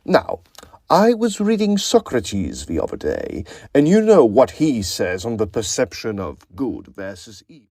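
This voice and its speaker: A man's voice: mid-range voice, wildly swooping pitch, a little slow, mellow, dry recording, studio quality, full character voice. An actor playing a suave, ingratiating and unnerving male student in a period audio drama, given to inflated, grandiose talk.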